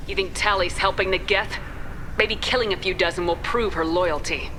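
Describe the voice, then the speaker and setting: An actress playing a tough, blunt military starship captain in a video game, speaking firmly and defiantly. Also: female voice, lowish voice, steady tone, normal speed, music in the background, natural voice. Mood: angry, sardonic.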